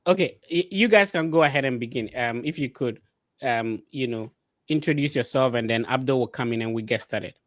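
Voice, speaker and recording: A man's voice: mid-range voice, brisk, no echo. Casual male online show host, dry sound. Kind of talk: casual live interview hosting. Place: live online video call.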